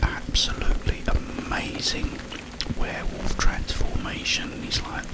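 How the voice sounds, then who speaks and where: A man speaking in a breathy whisper, rambling informally through a story in ASMR style. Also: rough recording. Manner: slow, halting, informal storytelling. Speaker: ASMR content creator.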